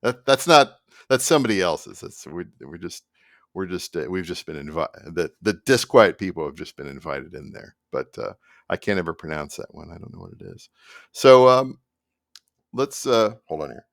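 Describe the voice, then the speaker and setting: A man's voice: deep voice, sing-song, normal speed, creaky, a bit bright, no echo, studio quality. A middle-aged man talking casually and loosely to camera as a musician, rambling in an easygoing way. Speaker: musician presenting own project. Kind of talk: casual behind-the-scenes vlog talk to camera. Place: small indoor home room at a computer.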